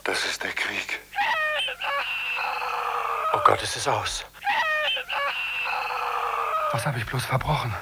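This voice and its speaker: A despairing female office worker laments tearfully in a fifties radio disaster scene. Her voice is extremely low and slow, buried in heavy noise. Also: lively intonation, full character voice. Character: terrified office worker facing death in disaster. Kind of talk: 1950s radio drama acting. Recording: very noisy old dictaphone playback.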